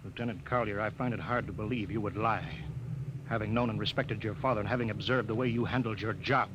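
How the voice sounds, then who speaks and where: A man's voice: fairly low pitch, steady tone, quick pace, background noise, lightly acted. Stern spaceship captain played by a man, muffled old recording. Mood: stern, probing. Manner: clipped, barking orders and interrogating. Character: stern, commanding male spaceship captain, suspicious and forceful. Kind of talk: 1950s radio drama acting.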